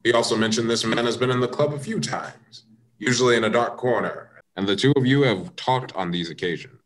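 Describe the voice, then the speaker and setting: A man playing a measured, skeptical American police inspector in a comic radio mystery. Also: medium pitch, fairly bright tone, natural voice, poor audio. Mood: skeptical, pressing.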